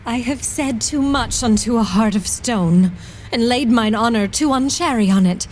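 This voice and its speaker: A woman's voice: on the high side, normal speed, darkish tone, a little hiss or hum, natural voice. An actress playing a lovelorn, proud noblewoman, pleading and ardent, in a Shakespearean audio drama. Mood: ardent longing.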